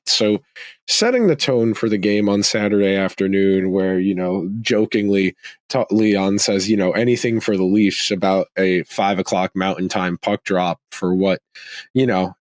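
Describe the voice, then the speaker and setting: Slightly raspy low-voiced male podcast host. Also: dry recording. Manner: conversational, thinking aloud with frequent restarts. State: slightly raspy from a throat tickle. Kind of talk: casual sports podcast monologue.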